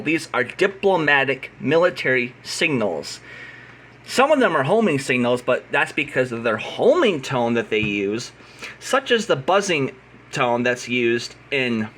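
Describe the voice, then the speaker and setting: A man's voice: medium pitch, very animated, unhurried, bright, forceful tone, a little hiss or hum. An American man giving an unscripted, opinionated explainer in a blunt, combative, emphatic manner. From United States. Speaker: radio signal hobbyist.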